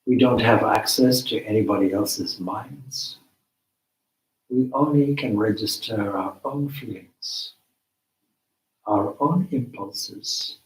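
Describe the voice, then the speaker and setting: Slow, low-voiced man guiding meditation. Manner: measured, deliberate phrasing with long pauses. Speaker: meditation teacher, swami. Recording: roomy, echoing space.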